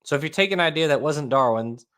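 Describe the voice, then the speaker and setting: A man with a Southern accent hosts a relaxed online panel chat. He reads posts aloud and then answers them dryly and sarcastically, laughing now and then at his own remarks. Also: fairly high pitch, quick pace. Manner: wry, sarcastic, laughs often. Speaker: host.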